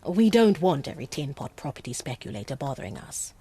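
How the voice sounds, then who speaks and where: A woman's voice: extremely low pitch, sing-song, a little fast, warm tone, breathy edge, full character voice. An actress playing a self-assured British female con artist in a radio drama, poised, persuasive and businesslike. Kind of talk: naturalistic radio drama acting.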